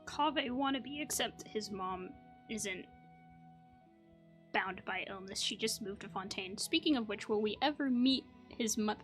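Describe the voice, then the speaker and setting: A woman's voice: mid-range voice, a little slow, fairly bright tone. A woman giving casual, slangy reaction commentary on a video game, playfully talking back to it. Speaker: gaming video creator. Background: video game music.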